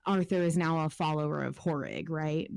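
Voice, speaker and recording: A woman's voice: sits low, moderate pace, creaky, darkish tone, dry recording, studio quality. A woman podcast panelist talking in a casual, informal discussion.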